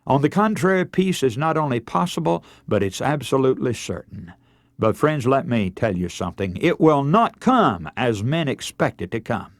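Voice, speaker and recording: Animated male radio preacher. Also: medium pitch, moderate pace, no echo, studio quality. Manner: earnest, emphatic, oratorical. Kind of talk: radio sermon with scripture readings.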